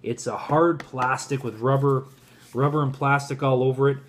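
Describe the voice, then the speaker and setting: A man's voice: medium pitch, steady tone, moderate pace, slight background noise. A man in his forties talking informally to viewers in a casual product review, recorded in a home kitchen on a camera at arm's length. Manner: informal, addresses viewers as guys.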